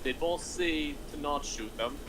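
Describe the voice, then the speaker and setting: A man's voice: fairly high pitch, unhurried, lightly acted. A man playing an eager hitman, noisy recording. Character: trigger-happy, impatient male hitman twin in passenger seat. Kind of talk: table read of a film script. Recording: noisy live room recording.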